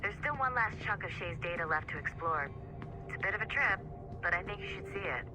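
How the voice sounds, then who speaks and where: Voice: mid-range voice, lively intonation, fairly quick, over music, lightly acted, rough recording. An actor playing a chummy, teasing colleague who is secretly an agent, casual, in a video game cutscene. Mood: breezy, teasing.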